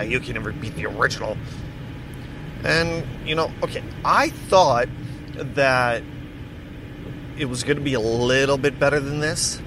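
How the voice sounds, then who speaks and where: A man hosting a casual solo podcast, rambling and correcting himself as he chats. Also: medium pitch. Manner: rambling, self-correcting, chatty. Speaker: podcast host. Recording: steady background noise.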